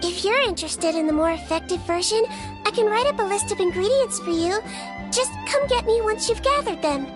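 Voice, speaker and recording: Small gentle nurse creature, high girlish voice, polite n matter-of-fact, game dialogue w music under it. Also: expressive, brisk. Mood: calm, tactful. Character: small gentle female nonhuman nurse, observant and tactful. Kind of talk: video game character dialogue. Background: background music.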